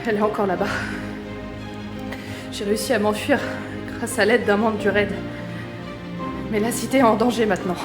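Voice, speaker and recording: A woman's voice: medium pitch, fairly even intonation, moderate pace, long pauses, natural voice. A woman playing a determined, earnest runaway in a French sci-fi audio drama. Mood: grave. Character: determined, earnest female runaway seeking her lost father. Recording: roomy echo, music behind.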